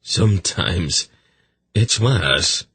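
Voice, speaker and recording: A wry, sly rogue from a fantasy story, played by a man. He teases casually and drily in a low, husky voice, taking his time. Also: expressive, extremely slow, sharp tone, no room sound, full character voice. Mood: wry, amused. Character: sly, wry, weasel-faced man, amused.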